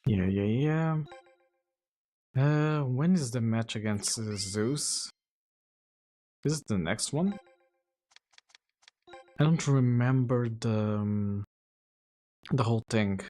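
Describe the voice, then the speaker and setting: A man streaming a video game, chatting casually to viewers in a relaxed, rambling way full of fillers. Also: medium pitch, slow, long pauses, soft background music. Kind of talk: casual gameplay commentary.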